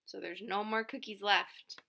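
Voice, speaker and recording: A woman reading a story aloud for young children, expressive and speaking to them directly. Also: mid-range voice, unhurried, gravelly. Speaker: children's story reader, teacher.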